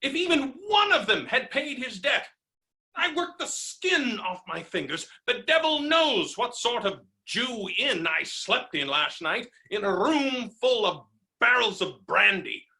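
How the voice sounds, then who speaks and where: Hot-headed ranting creditor in a stage farce, really forceful and piercing, echoey room. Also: male voice, very high-pitched, sing-song, unhurried, vocal fry, full character voice. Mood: indignant complaining. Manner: ranting, exclamatory, exasperated, melodramatic. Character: blustering, hot-tempered male creditor, unkempt and indignant. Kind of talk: theatrical staged reading of a stage comedy. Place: indoor room, recorded remotely. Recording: roomy, echoing virtual staged-reading recording.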